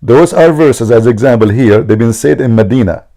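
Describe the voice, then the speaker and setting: Gravelly man. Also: medium pitch, moderate pace, mellow. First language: Arabic. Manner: mocking, emphatic, repeats himself. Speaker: debate show host. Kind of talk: argumentative religious debate.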